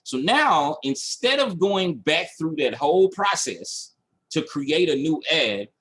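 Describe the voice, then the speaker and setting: A man's voice: fairly high pitch, big pitch swings, takes it slowly, a bit bright, husky. A man teaching online marketing in a screen-share tutorial, talking through each step aloud and checking in as he goes. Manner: instructional, checking in with "okay" and "all right".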